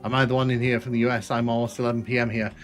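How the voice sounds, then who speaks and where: Northern English man, Manchester accent, relaxed gaming streamer talking over clear game music, trails off and restarts his sentences. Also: mid-range voice, racing. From Northern England. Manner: rambling, self-correcting, chatty. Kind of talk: casual live-stream chat while playing.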